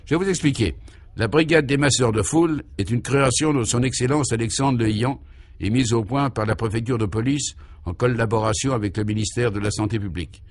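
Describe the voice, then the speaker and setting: A man's voice: medium pitch, fairly quick, lightly acted. A man voicing a self-assured local who proudly explains an absurd government in a pompous, emphatic way, in a comic radio serial. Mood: pompous, instructive. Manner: pompous, mock-official, emphatic.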